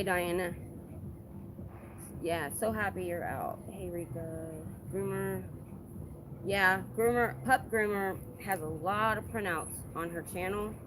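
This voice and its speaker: A woman talks into her phone on a busy city sidewalk, chatting loosely with viewers and answering their comments. Her voice sits at an ordinary middle pitch and lifts sharply when she gets indignant. Passing traffic and street noise are clearly heard under her. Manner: animated, rising with emphasis when indignant. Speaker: livestreaming protester. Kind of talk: casual livestream chat, reading viewer comments. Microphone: phone held in hand.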